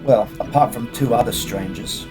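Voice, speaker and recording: A man's voice: medium pitch, moderate pace, close to an everyday voice, low-quality recording. A man playing a loyal, anxious spaceship crewman in a fan sci-fi audio drama, speaking earnestly. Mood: urgent. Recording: music behind the voice.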